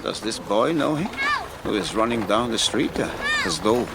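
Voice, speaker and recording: An actor playing an inquisitive, commanding older foreign professor, speaking deliberately in stilted phrasing in a period audio drama. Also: male voice, fairly low pitch, animated, heavy background noise. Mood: inquiring.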